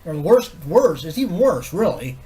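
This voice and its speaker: A man's voice: big pitch swings, normal speed, warm tone, husky, rough recording. Stuffy-nosed man rambling with a cold. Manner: low-key, meandering, loose swearing. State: congested with a head cold, nasal. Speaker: vlogger. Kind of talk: rambling casual chat to camera.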